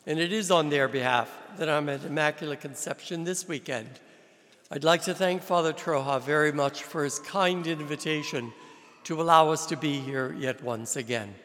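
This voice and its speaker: A man preaching a sermon as a visiting priest, from New Jersey, with slow, deliberate delivery and emphatic rises. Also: on the high side, fairly bright tone. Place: large church with long reverb. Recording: reverberant church hall.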